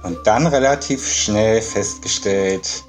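Lowish male author over music. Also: slow, rough recording. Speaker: author being interviewed. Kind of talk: explanatory interview talk.

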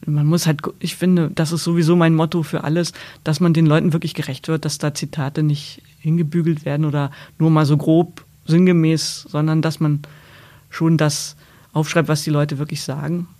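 A woman's voice: low-pitched, level tone, normal speed, mellow. A woman sports journalist answering questions as a guest, thoughtful and hesitant, often restarting her sentences. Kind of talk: podcast interview answers.

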